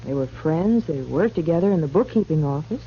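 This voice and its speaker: Casting: coy, evasive young saloon woman, radio drama, low register, muffled old-time sound. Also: animated, brisk. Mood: coy, casual. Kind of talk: 1950s radio western acting. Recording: muffled old radio recording with hum and hiss.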